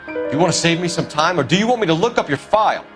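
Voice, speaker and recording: A man's voice: fairly high pitch, lively intonation, fast, over music, lightly acted. An actor playing an earnest police homicide detective in a 1940s crime game, formal and pressing in his questioning. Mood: accusing.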